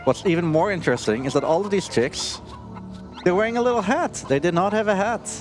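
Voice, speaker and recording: A man's voice: high-pitched, fairly quick. A man giving casual live commentary as a speedrunner, with wry, hesitant asides. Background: video game music.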